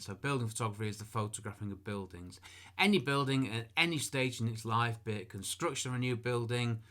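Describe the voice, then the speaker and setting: Guy teaching photography from home, bright voice, rambly asides, laughs at himself. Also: mid-range voice. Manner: chatty, self-deprecating, with asides and restarts. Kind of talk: casual talk to camera. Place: room at home.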